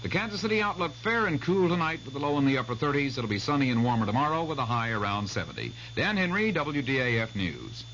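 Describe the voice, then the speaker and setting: A man's voice: mid-range voice, sing-song, fast talker, hardly any pauses, fairly bright tone. An American man reading a scripted radio sports and news bulletin. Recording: dull old radio recording, faint hum.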